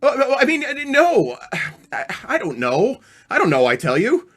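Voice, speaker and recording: A woman playing an anxious, flustered husband in an old-time radio play, husky and stammering. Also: on the high side, huge pitch swings, moderate pace, a little hiss or hum. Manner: stammering, agitated. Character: anxious, flustered husband cornered by police questions.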